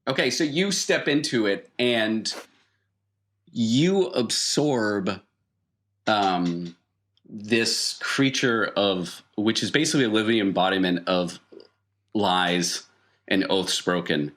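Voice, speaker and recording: Male game master with a lowish voice, improvising tabletop RPG narration conversationally, pausing as he finds colourful detail. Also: fairly bright tone.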